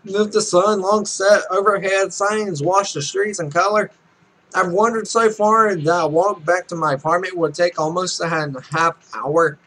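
A man's voice: sits high, fairly even intonation, normal speed, fairly bright tone, rough recording. A man in his twenties reading game text aloud with casual, joking, self-mocking commentary. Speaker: Let's Play streamer. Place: small room at home.

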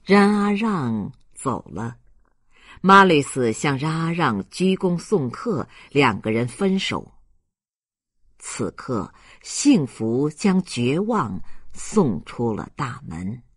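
A man's voice: medium pitch, very animated, slow pace, fairly bright tone, breathy edge, dry recording, clean studio sound. A man narrating a literary audiobook in a dramatic storytelling style.

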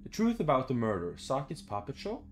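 A man's voice: mid-range voice, wildly swooping pitch, a little fast, slight background noise. A young man doing casual horror game commentary, muttering to himself in short phrases. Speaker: gamer, let's play host. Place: small room at home.

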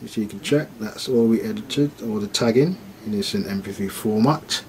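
A man giving an informal, unscripted step-by-step device demonstration, haltingly thinking aloud as he works through it. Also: fairly low pitch, darkish tone. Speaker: product demonstrator.

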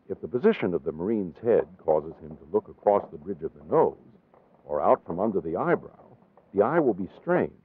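A man with an American accent reading scripted training-film narration in a measured, formal, precisely enunciated way. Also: sits low, slightly rough, narrow, tinny audio.

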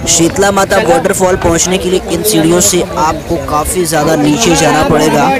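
A man's voice: on the high side, little pitch movement, fairly quick, loud music behind the voice. A young man narrating a travel vlog while walking a crowded outdoor trail, chatty and often addressing viewers as guys, filmed at arm's length. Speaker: travel vlogger. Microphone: handheld camera or phone at arm's length. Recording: loud crowd and outdoor noise. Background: crowd chatter and outdoor noise.

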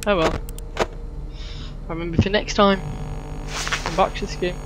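A woman's voice: on the low side, fairly even intonation, normal speed, very noisy. A woman giving casual gameplay commentary in an offhand, muttering way. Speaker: gamer. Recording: loud game audio and music behind. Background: video game music and sounds.

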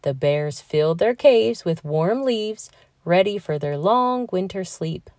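A woman reading a children's picture book aloud with slow, expressive storytelling. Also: on the low side, no echo, studio quality. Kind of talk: read-aloud storybook narration.

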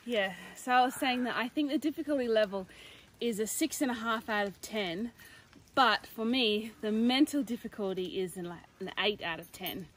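A young woman chatting expressively to a handheld phone camera on an outdoor forest trail, sounding exasperated and quick to laugh. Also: a little high, low-quality recording. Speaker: hiking companion. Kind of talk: casual on-camera chat. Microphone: phone camera held by companion.

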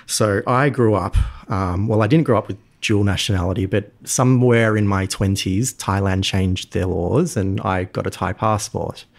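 A man's voice: lowish voice, steady tone, moderate pace, vocal fry, few pauses. A man, a podcast guest talking in a friendly, storytelling way with frequent ums. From Australia. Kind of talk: casual interview talk.

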